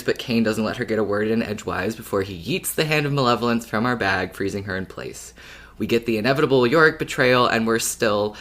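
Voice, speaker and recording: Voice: mid-range voice, fairly bright tone. A podcast co-host talking casually, with a playful, wry way of recapping.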